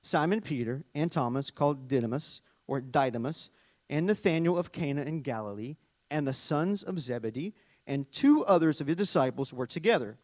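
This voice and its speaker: A man's voice: on the high side, moderate pace, no room sound. A middle-aged man from Arkansas with a Southern US accent, a worship leader preaching a conversational sermon with joking asides and scripture reading. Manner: chatty, joking asides, animated storytelling.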